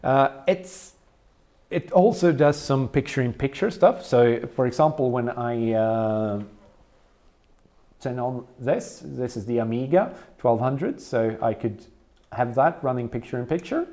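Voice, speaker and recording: Halting Swedish man giving an equipment tour. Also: medium pitch, expressive, warm tone. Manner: halting, many ums and uhs. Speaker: event organizer showing equipment. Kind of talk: casual walkthrough tour.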